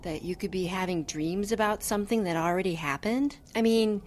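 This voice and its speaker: Lady doing a keen group member, a bit unsure, trails off, mid-pitch, faint hiss behind. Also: fairly quick, lightly acted. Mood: eager. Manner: eager but unsure, trailing off. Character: eager woman proud of her abduction dreams.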